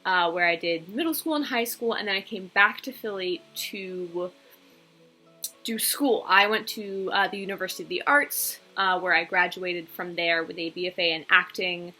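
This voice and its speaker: Casting: American woman, 20s, casual vlog host, chatty, bright forward tone, faint music underneath. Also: lowish voice, normal speed, low-quality recording. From England, United States and Belgium. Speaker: video channel host. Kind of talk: casual personal vlog talk.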